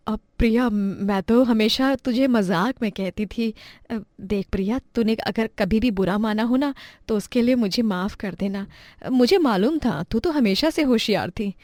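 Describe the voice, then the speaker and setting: A woman's voice: on the high side, normal speed, few pauses, darkish tone, no room sound, studio quality, close to an everyday voice. A woman playing a scornful, harsh middle-aged employer in a story drama. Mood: apologetic. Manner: sneering, harsh and contemptuous.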